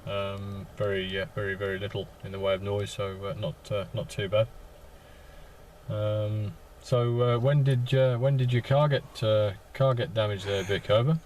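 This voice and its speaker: Male radio ham, flat low delivery, halting and repetitive, chatting from a parked vehicle with some background noise. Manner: halting, repeats words as they go. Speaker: amateur radio operator. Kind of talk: casual radio contact chat with call signs.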